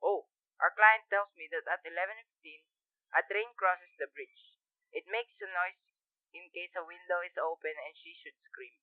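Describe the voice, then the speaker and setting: A man's voice: high-pitched, normal speed, dry recording. Telephone-filtered young man as businesslike criminal. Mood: cold, businesslike. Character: businesslike criminal plotting coolly over the telephone. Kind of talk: radio drama acting. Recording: dull, band-limited telephone-line sound.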